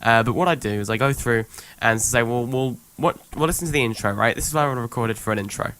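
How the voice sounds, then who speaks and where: A man's voice: mid-range voice, fast, fairly bright tone, rough recording. Young man with a sick voice explaining his editing. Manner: rambling, thinks aloud with many ums. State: sick, voice worn. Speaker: youtuber showing his editing. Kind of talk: casual screen-recorded tutorial.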